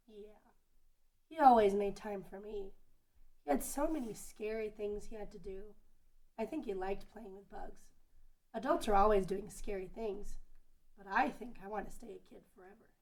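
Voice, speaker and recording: A woman's voice: medium pitch, moderate pace, darkish tone, lightly acted. A woman playing an innocent, earnest young girl who loves bugs, in an amateur fantasy table read. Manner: childlike, simple and earnest. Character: innocent bug-loving young girl, sweet and childlike. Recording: distant from the microphone.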